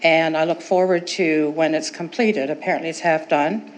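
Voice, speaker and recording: A woman with a notably low voice speaks at a podium microphone in a council chamber. She talks chattily and gratefully, rambling with fillers, over faint room noise. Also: fairly even intonation, normal speed, rough recording. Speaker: resident giving public comment. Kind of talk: public comment at council meeting.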